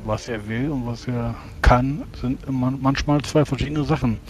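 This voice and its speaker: A man playing a tabletop role-playing game, talking in character in a measured, deliberating way. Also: medium pitch, fairly even intonation, faint music behind.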